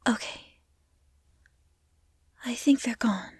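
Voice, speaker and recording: A woman playing a gentle dryad who protects a forest, speaking in soft, hushed, halting tones in an intimate roleplay audio drama. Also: fairly high pitch, slightly rough, exaggerated voice. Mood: urgent, hushed worry.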